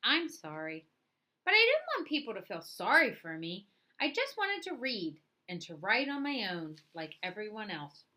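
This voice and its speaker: Bright-voiced woman reading a children's story. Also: medium pitch, sing-song. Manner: dramatic, deliberate phrasing with acted dialogue. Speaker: storyteller reading a picture book aloud. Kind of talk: expressive children's read-aloud narration.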